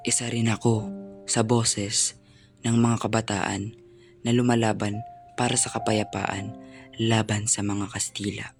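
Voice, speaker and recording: A man playing an earnest, sorrowful young student poet in a solemn, measured dramatic monologue. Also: lowish voice, flat delivery, gravelly. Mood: earnest, resolute. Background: faint music.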